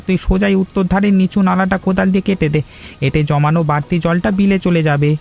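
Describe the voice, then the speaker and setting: A man as a high-pitched, loyal village friend. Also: fairly even intonation, quick pace, vocal fry, darkish tone, soft background music. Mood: determined. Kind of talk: scripted cartoon dialogue.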